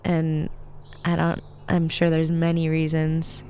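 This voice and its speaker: A woman chatting as a podcast guest in a slightly raspy, sniffly, congested voice, speaking reflectively with pauses. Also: sits low, monotone, dark tone. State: sniffly with allergies. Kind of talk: casual podcast conversation.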